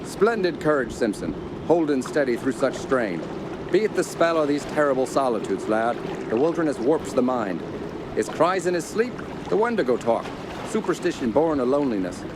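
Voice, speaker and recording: Man acting a pompous, learned uncle, noisy background. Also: a little high. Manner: measured, pompous, lecturing. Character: older uncle, learned rationalist doctor, encouraging yet dismissive. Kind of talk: radio horror drama acting. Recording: clearly noisy outdoor ambience.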